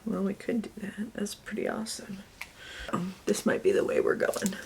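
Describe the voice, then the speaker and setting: A woman's voice: mid-range voice, sing-song, moderate pace, creaky, a little mellow, slight background noise. A young woman with a slight Southern US accent, chatting snarkily through a get-ready-with-me makeup video and muttering asides. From Connecticut and the US South. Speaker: beauty vlogger. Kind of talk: casual get-ready-with-me chat.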